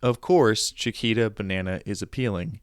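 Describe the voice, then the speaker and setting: A man's voice: lowish voice, moderate pace, clear, resonant tone, no echo, studio quality. A man hosting a podcast, giving casual, sarcastic commentary with joking asides. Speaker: podcast host.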